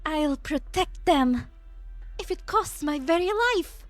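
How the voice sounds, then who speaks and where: A woman playing a gentle, idealistic, dreamy scientist in an amateur game fan dub. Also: sits high, slow, no echo, faint music behind. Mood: soft, devoted.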